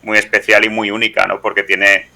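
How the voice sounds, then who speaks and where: A man with an accent from Spain, hosting a conversational interview in halting phrases, often ending on tag questions. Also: lowish voice, completely flat delivery, creaky, sharp tone, low-quality recording. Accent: Spanish from Spain. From Teruel, Spain. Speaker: podcast host, interviewer.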